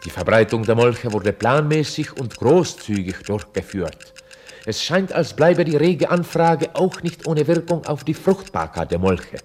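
An actor playing a chronicler in a radio drama, explaining things formally like a documentary reporter. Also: male voice, medium pitch, very animated, measured pace, darkish tone, breathy edge. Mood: explanatory, formal. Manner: explanatory, formal, theatrically varied delivery. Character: male chronicler presenting a mock documentary report. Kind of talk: scripted radio drama narration.

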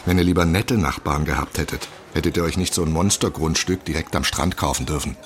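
An actor in a radio drama playing a brash, cynical friend who gives blunt, sweary, mocking advice. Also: male voice, rumbling low, brisk. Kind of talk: naturalistic radio drama acting.

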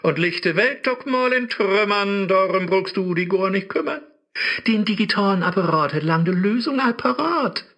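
An actor playing a sly, scheming male devil who lives in a phone app, with a smooth, sneering voice, in a rhymed radio play. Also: sits high, lively intonation, moderate pace, sharp tone, exaggerated voice.

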